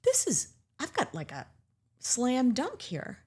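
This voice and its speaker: A woman's voice: high voice, wildly swooping pitch, slow pace, a little mellow, slightly rough. A woman author with a New England accent, chatting candidly as an interview guest with self-deprecating humor. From Cuba. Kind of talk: casual interview chat.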